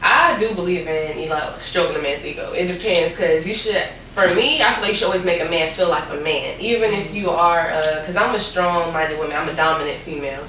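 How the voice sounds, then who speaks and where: A young woman with a bright, forceful voice, talking loudly and laughing as she tells her stories. Her voice sits a little low for a woman, and the room around her is very echoey. She is picked up from some distance away from the mic. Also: slight background noise. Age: young adult. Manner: loud, laughing, storytelling. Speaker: podcast guest. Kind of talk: casual podcast chat.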